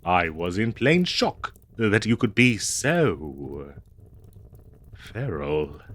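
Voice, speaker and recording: Male actor as fretful, devoted 19th-century husband for comic audio drama. Florid and theatrical, unhurried delivery, animated intonation, halting when flustered. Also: deep voice, slight background noise, full character voice. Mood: troubled, hesitant. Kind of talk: comedic period audio roleplay acting.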